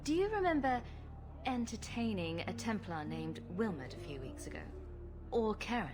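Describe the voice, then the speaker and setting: A woman's voice: medium pitch, sing-song, moderate pace, lightly acted. An actress playing a sarcastic, commanding female rogue adventurer in a fantasy video game. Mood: wry, teasing. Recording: game audio with music behind.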